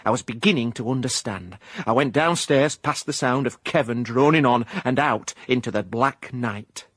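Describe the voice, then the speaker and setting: An actor with a Yorkshire accent playing an eager, defensive novice private eye, husky, wry and deadpan, in a radio drama. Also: male voice, medium pitch, moderate pace, dry recording, lightly acted. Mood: wry narration. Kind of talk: radio drama dialogue and narration.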